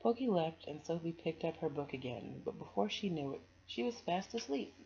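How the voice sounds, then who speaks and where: A woman's voice: low voice, lively intonation, moderate pace, gravelly, mellow, husky. A woman from the United States narrating a children's picture book aloud, with casual asides. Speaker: storytime host reading aloud. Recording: dull, noisy home recording.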